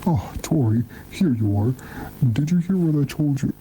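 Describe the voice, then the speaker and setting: A man's voice: a little high, expressive, normal speed, mellow, lightly acted. A man playing a fantasy king, an anxious husband calling to his queen in a horror drama. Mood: anxious, searching. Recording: very noisy horror video soundtrack.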